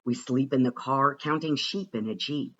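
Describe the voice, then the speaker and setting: A man reading a rhyming children's storybook aloud with a rhythmic, expressive and deliberate delivery. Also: medium pitch, lo-fi sound. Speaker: reader of a children's picture book.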